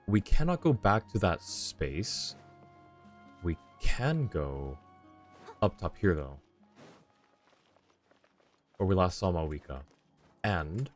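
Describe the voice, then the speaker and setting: A man streaming a game live, chatting casually and laughing often with muttered asides. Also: deep voice. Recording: game music faint behind.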